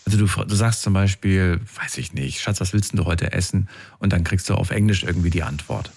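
A man with a fairly low voice acts as interviewer in a casual conversation. He speaks informally and often tacks short checking questions onto what he says. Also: fairly quick, gravelly, darkish tone, slightly rough. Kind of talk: conversational interview about personal experiences.

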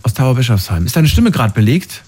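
A man's voice: mid-range voice, very animated, fast, a little mellow, husky. A middle-aged man hosting a call-in show, chatty and asking callers follow-up questions. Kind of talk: casual call-in moderation.

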